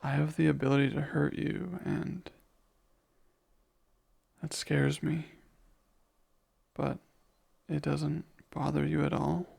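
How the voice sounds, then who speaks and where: A man playing a kind, remorseful vampire in an ASMR roleplay, speaking softly and tenderly with hesitant, formal, careful phrasing. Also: on the high side, little pitch movement, slow pace, vocal fry, long pauses, breathy edge, full character voice. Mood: tender, uneasy. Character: kind, gentle, remorseful male vampire comforting someone. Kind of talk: ASMR audio roleplay, soft intimate monologue.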